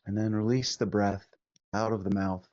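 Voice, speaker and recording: A man leading a guided meditation as a teacher, speaking gently and soothingly with long pauses between short instructions. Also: fairly low pitch, steady tone, dry recording, muffled recording.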